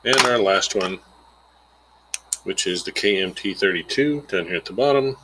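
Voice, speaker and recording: A man's voice: low voice, expressive, normal speed, sharp tone, a little hiss or hum, low-quality recording. A man giving an informal, plainspoken lesson, explaining a worked example step by step and thinking aloud as he calculates. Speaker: instructor.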